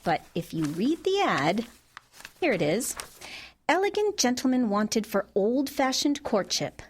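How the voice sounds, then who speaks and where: Lively, exasperated, suspicious mother. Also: on the high side, a little slow, a little hiss or hum. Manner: earnest, indignant, skeptical. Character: suspicious, exasperated small-town mother running a newspaper. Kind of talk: radio comedy-mystery acting.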